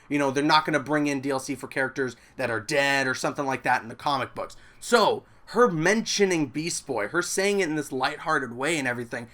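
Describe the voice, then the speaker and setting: A man's voice: fairly high pitch, a bit bright. A man hosting a casual gaming news video, enthusiastic and chatty. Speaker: gaming YouTuber. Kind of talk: casual game news commentary.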